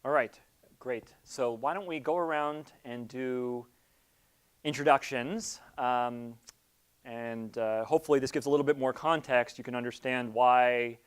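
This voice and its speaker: A middle-aged man giving an informal orientation talk as an academic leader, halting and repeating words as he thinks aloud. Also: mid-range voice, steady tone, clear, resonant tone. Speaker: academic project leader, theorist. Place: seminar room. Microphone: lapel mic.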